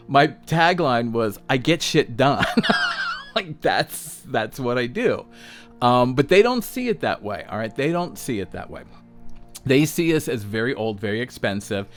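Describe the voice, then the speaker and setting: A man in his sixties talking casually and bluntly, swearing freely, mocking himself and checking in with the listener. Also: mid-range voice. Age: 60s. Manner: blunt, profane, self-mocking, lots of "um" and "all right?". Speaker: podcast host, self-employed designer. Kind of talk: unscripted solo talk.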